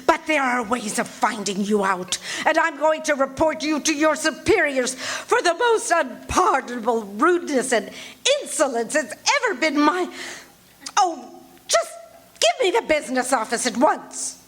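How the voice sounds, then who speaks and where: A woman's voice: high-pitched, big pitch swings, unhurried, a bit bright, heavily acted. An actress playing a nervous, demanding, self-pitying bedridden woman in a 1940s-style thriller radio play, fretful and melodramatic. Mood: outraged. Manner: imperious, fretful, querulous, melodramatic. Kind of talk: live stage radio drama acting, 1940s thriller.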